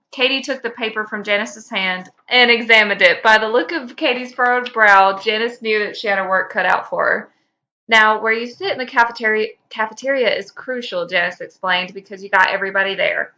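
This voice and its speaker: A woman co-hosting a podcast, informal and expressive, moving between casual chat and reading fan fiction aloud. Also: medium pitch, fairly quick. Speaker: podcast co-host.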